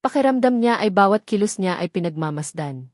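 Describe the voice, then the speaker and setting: A woman's voice: mid-range voice, lively intonation, fairly quick, dry recording, studio quality. A woman with a Filipino accent reading a scripted, dramatic story as its narrator.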